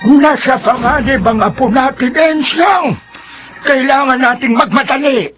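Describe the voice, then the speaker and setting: An actor playing an urgent, solemn old village healer, speaking gravely and emphatically in radio melodrama. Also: male voice, extremely high pitch, measured pace, a bit bright, faint music behind. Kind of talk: melodramatic radio drama acting.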